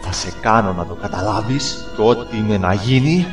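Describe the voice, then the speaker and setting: A man's voice: fairly low pitch, echoey room, recorded from a distance, over music, natural voice. A man playing a stern sea king. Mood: angry. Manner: commanding, stern. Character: stern old sea king, strict father. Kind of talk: fan dub acting.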